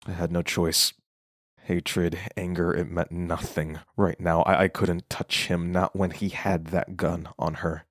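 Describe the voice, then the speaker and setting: An actor playing a haunted narrator in a horror audio drama, telling his own story in a grave, halting way. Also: deep voice, little pitch movement, no room sound, studio quality. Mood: dazed, grave.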